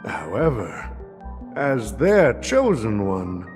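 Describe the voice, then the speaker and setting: Sly old merchant boss in a game, slow drawl-out delivery, pitch swooping around, big hall reverb. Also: male voice, huge pitch swings, darkish tone, heavily acted. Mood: measured, solemn. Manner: slow, savoring, amused and calculating. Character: sly, theatrical old male merchant family head. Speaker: game voice actor. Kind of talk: dramatic video game dialogue. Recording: long hall reverb over faint music.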